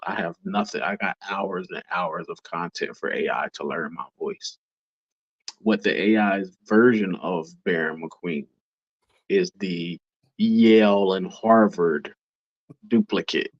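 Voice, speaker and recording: A man co-hosting a podcast, talking casually with a relaxed swagger. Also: low-pitched. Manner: laid-back, relaxed swagger. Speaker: podcast co-host. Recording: dull lo-fi sound.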